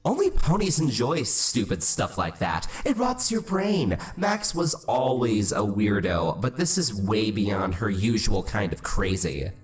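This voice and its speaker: A man acts out a scornful male changeling for an audio comedy. His sneering delivery is extremely animated, in an echoey space with music behind. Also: fairly low pitch, a little slow, hardly any pauses. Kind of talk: audio-drama acting of a comedy story.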